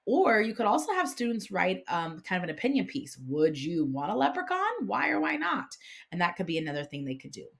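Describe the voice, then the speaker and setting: A woman's voice: mid-range voice, sing-song, fairly quick, vocal fry. A woman hosting an informal instructional vlog, explaining things conversationally like a classroom teacher. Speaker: classroom teacher and video host. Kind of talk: casual instructional vlog talk about picture books.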